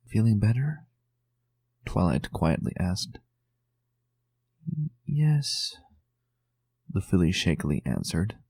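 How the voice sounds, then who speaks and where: A man narrating a dramatic fan fiction reading in a calm, measured way. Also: low voice, no echo, studio quality. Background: faint music.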